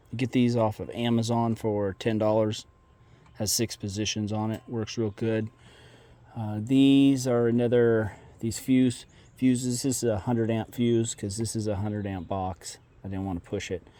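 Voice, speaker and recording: A man's voice: fairly low pitch, warm tone. A man giving a casual how-to walkthrough of his own vehicle work, plainspoken and folksy, trailing off and restarting as he points things out, recorded outdoors on a camera mic while he moves around. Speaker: car modification vlogger.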